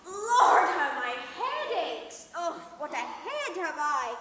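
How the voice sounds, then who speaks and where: A woman plays a garrulous old Shakespearean nurse, weary and teasing, with a bright, very lively delivery. She groans and rambles through her lines on stage, picked up from some distance. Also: high voice, moderate pace. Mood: weary complaining. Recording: echoey hall, distant mic, faint noise.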